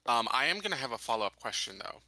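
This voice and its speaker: Man, casual game discussion, hesitant, very bright edgy tone, dry close sound. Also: medium pitch, very animated, quick pace, no room sound, rough recording. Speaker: tabletop roleplaying player.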